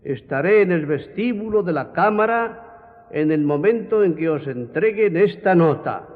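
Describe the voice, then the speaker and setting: An authoritative parliamentary president in radio drama style. His slightly high voice is formal and measured. It rings in a long reverb with a thin, phone-like sound. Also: animated. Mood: official, reading. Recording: reverberant hall, tinny narrow-band sound.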